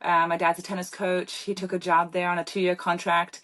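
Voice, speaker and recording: A woman's voice: fairly low pitch, level tone, moderate pace, a bit bright. A young woman with a British accent, chatting as a guest in an interview with many fillers and restarts. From Germany. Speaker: guest, professional pickleball player. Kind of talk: casual interview chat.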